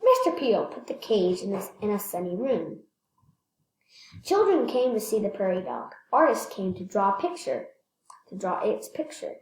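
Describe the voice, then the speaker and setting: A woman's voice: mid-range voice, very animated, moderate pace, a little mellow, slight background noise. Woman reading a storybook in an echoey room. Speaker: read-aloud storyteller. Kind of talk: reading a children's picture book aloud, with casual asides.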